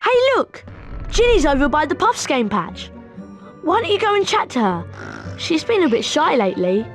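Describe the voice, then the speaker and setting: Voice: high voice, big pitch swings, normal speed, a bit bright, faint music behind. An actor playing an eager, friendly young wizard boy with a British accent in a video game, chummy and informal. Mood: chatty. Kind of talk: video game character acting.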